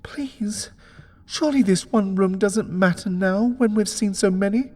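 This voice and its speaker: Storybook wife, played by a male narrator: anxious, pleading, breathy, pitched well up. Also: measured pace, warm tone, long reverb tail.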